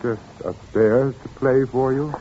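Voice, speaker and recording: An actor playing a murderous male organist in an old radio drama, speaking in a soft, coldly courteous way. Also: mid-range voice, fairly even intonation, unhurried, natural voice. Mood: sinister, silky. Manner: soft, silky and sinister, then panicked pleading. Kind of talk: 1940s radio acting. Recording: dull old radio recording with faint hiss.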